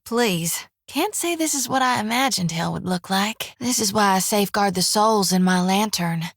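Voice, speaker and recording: A woman's voice: mid-range voice, moderate pace, no echo, studio quality, close to an everyday voice. Woman voicing a folksy priestess. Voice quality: folksy drawl, full of "reckon" and "ain't". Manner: plainspoken, earnest, sometimes wry. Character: folksy young woman priest carrying a soul lantern. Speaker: game companion character. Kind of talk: video game voice lines. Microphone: close studio mic.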